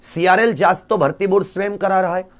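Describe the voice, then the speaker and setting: A man's voice: sits high, a little fast. A man, a coaching teacher addressing students directly, emphatic and exhorting, with rhetorical questions and repeated phrases.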